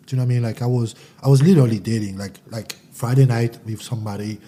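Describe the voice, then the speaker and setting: A man's voice: medium pitch, fairly even intonation, fast talker, dark tone, slightly rough. A man from Central Africa, raised in France, speaking candidly and reflectively as a guest, often restarting his sentences. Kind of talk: casual interview.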